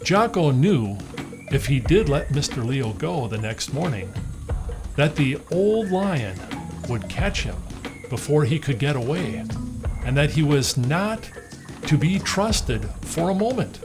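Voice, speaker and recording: Animated male storyteller with lively pitch. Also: slow, over music. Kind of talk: expressive children's storybook reading.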